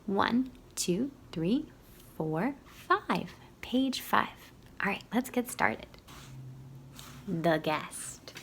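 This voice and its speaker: Lady doing story time for little kids, teachery, asks them questions as she reads, normal pitch. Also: lively intonation, extremely slow, pauses often, slight background noise. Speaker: children's story reader. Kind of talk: read-aloud storytelling for young children.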